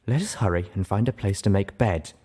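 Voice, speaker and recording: A man voicing a refined, haughty, sharp-tongued lady unicorn with prim, formal phrasing in a storybook reading. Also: low voice, brisk, husky. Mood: fussy.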